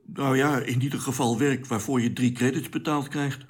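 A man's voice: medium pitch, fairly even intonation, moderate pace, lightly acted. An actor playing a put-upon crewman of a space expedition in a radio drama, hesitant, sardonic and given to complaint.

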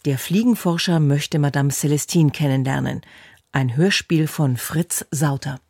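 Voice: medium pitch, a little slow, a little mellow, no room sound, studio quality. A radio announcer reading a scripted introduction to a programme.

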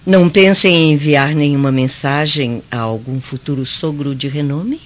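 A woman acting in a radio drama as a gentle Roman mother, speaking in a measured, theatrical way. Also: low-pitched, natural voice. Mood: gently chiding. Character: gentle Roman matron, mother of two boys. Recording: dull lo-fi radio drama recording.

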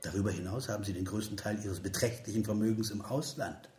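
An actor in a radio play voicing a bitterly sarcastic political cynic of 1930s Germany, with a rounded, rough voice and biting, declamatory delivery. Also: male voice, fairly low pitch, steady tone, fast, a little mellow, a little hiss or hum, close to an everyday voice. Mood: bitterly serious. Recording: roomy echo, music behind.

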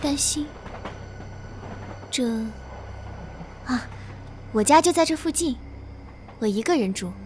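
A woman's voice: high voice, lively intonation, slow, long pauses, a little mellow, a little hiss or hum. An actress playing a chatty, nonchalant young woman riding the train alone at night in a horror drama. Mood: curious, casual. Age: young adult. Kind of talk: conversational horror drama acting.

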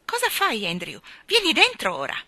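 A woman's voice: mid-range voice, huge pitch swings, slow pace, vocal fry, pushed and bright, slightly rough, no room sound, heavily acted. A woman reader voicing a fussy, haughty, wailing lady who dotes on her dog in a children's storybook. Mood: indignant scolding. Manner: wailing, indignant, theatrical. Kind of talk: children's storybook character acting.

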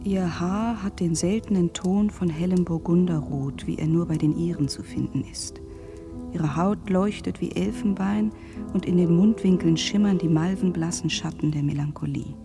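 A woman's voice: on the low side, moderate pace, natural voice. Woman as an intimate letter narrator over music. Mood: enraptured. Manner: literary, descriptive, intimate. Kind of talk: German radio drama narration. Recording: guitar music under the voice.

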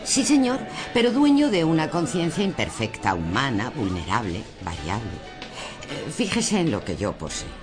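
A man's voice: medium pitch, huge pitch swings, a little slow. Man playing a smug, swooping Castilian lady shopper. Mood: blissfully smug. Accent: Castilian Spanish. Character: docile, smug female shopper devoted to consumerist control. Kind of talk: surreal radio drama dialogue. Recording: music under the dialogue.

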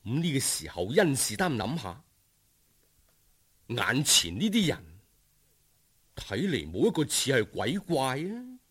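Husky, highly animated Cantonese male storyteller. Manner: expressive, unhurried, savouring descriptions. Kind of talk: dramatic scripted folk-tale narration.

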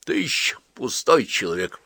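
A man voicing an old village priest and holy fool, folksy, gruff and affectionate, in an audiobook story. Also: on the low side, very animated, moderate pace, pushed and bright, dry recording, clean studio sound, full character voice. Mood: stern.

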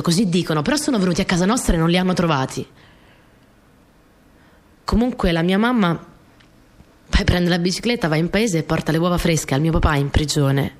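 A woman's voice: on the low side, animated, a little fast, a little mellow, natural voice. An actress playing a young peasant girl in a radio monologue, telling her story in a naive, colloquial way, repeating phrases for emphasis. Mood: childlike, agitated. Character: young Italian peasant girl, earnest, plainspoken, stubborn storyteller. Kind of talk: dramatic monologue in a radio play. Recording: music behind the voice.

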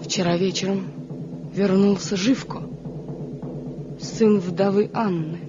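An actress playing an anxious, pleading wife in a mountain village, acting earnestly in an old radio drama. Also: female voice, medium pitch, steady tone, slow pace, over music, close to an everyday voice. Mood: fearful. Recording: dull, faintly noisy 1970 radio recording.

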